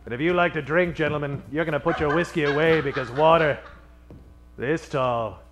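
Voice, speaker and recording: A man narrating a tongue-in-cheek 1940s-style radio detective show, melodramatic, drawing out his punchlines. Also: a little high. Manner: theatrical, melodramatic, drawn-out punchlines. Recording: music playing behind the voice.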